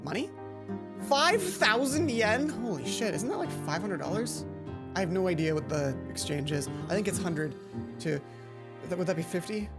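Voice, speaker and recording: A woman's voice: lowish voice, very animated, normal speed, over loud music. A woman hosting a let's play, mixing casual, sweary commentary with reading a visual novel's narration aloud.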